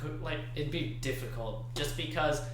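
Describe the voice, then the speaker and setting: A man co-hosting a podcast in slangy, casual chat, excitable and quick to laugh. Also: a little high, background noise. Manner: excitable, often laughing through words. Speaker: podcast co-host. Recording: roomy, echoey sound.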